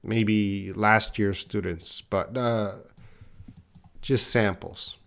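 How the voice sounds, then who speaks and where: A man's voice: fairly low pitch, steady tone, very slow, creaky, fairly bright tone, clean tone. A middle-aged man teaching a live online English class, answering learners' comments in a plain-spoken way and addressing them by name.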